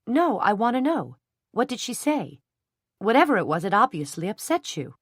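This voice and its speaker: A woman voicing a loyal, fiery young woman who speaks earnestly and turns sharp and indignant. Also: lowish voice, lively intonation, normal speed, no room sound, studio quality, lightly acted. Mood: angry.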